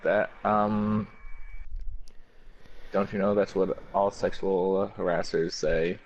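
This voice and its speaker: A man chatting casually with a friend, hesitant and halting as he talks. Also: low-pitched, steady tone. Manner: hesitant, lots of fillers and restarts. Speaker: co-host. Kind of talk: casual chat. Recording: muffled lo-fi mic.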